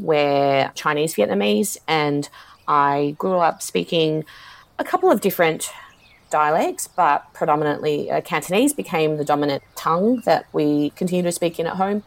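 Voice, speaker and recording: A woman, an academic philosopher, giving thoughtful and articulate interview answers at an unhurried pace. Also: sits low, animated, creaky, no room sound.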